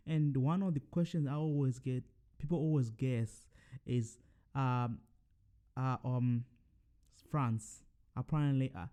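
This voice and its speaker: A man's voice: medium pitch, dark tone. A young man from Zimbabwe hosting his own podcast, talking casually to his listeners with lots of fillers and restarts. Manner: rambling, many fillers and self-corrections. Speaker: podcast host. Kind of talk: casual solo monologue.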